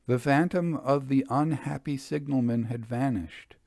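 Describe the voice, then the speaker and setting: Male narrator for gothic audiobook: unhurried, suspenseful, theatrical, mid-range pitch, dry close sound. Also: creaky, darkish tone, dry recording. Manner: deliberate, theatrical, suspenseful delivery with dramatic pauses. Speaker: narrator reading a ghost story. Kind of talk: dramatic audiobook narration of a Victorian ghost story.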